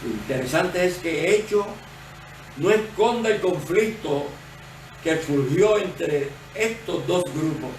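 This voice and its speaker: A male associate pastor with a Puerto Rican accent gives a sermon in a fairly high voice, unhurried and deliberate. He explains step by step. He sounds set back from the mic in a roomy church, with faint noise behind. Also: animated, darkish tone, distant mic. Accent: Puerto Rican Spanish. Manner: measured, explanatory preaching.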